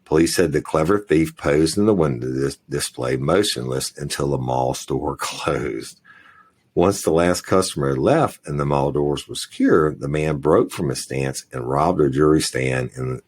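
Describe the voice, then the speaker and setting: A man with a very deep, gravelly and somewhat husky voice co-hosts a crime news podcast. He reads the stories aloud and breaks in with profane jokes. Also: animated, measured pace, darkish tone. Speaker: podcast co-host. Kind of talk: casual crime news chat.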